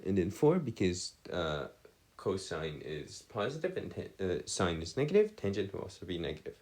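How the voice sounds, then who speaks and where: Male tutor, deep register, lively melodic swings, bookish phrasing, hesitant stop-and-start explanatory delivery. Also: normal speed. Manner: formal, bookish wording. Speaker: math tutor. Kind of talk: improvised lesson explanation on trigonometry.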